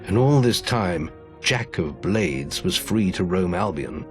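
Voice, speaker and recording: An actor playing a grave fantasy storyteller, giving portentous scripted narration for a video game. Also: male voice, sits low, lively intonation, takes it slowly, faint music behind. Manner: solemn, portentous.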